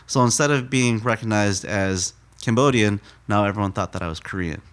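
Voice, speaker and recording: A man in his thirties, a donut shop owner, telling his story in a relaxed, rambling way with lots of fillers. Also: fairly low pitch, fairly quick, clean tone. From United States. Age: 30s. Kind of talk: casual interview storytelling.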